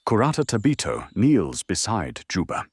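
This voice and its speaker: A man's voice: lowish voice, wildly swooping pitch, normal speed, breathy edge, no echo, clean studio sound. A man delivering dramatic scripted narration for an audio drama. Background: faint music.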